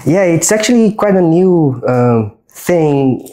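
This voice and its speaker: A man in his thirties from Brazil with a Brazilian accent, talking about his design work in a relaxed, earnest way while getting a haircut. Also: a little high, slow pace. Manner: relaxed, earnest, restarts words while explaining. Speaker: guest, design strategist. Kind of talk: casual chat during a haircut. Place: barbershop.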